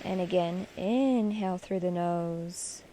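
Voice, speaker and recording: A woman leading a guided meditation in a soothing, measured way, leaving pauses between short instructions. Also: on the low side, warm tone, a little hiss or hum.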